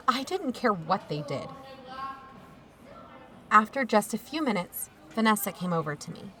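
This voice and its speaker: An actress playing a watchful, protective aunt in a first-person audio drama, conversational and wry. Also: female voice, medium pitch, very animated, a little slow, a bit bright. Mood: uneasy narration. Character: watchful, protective aunt narrating her own mystery.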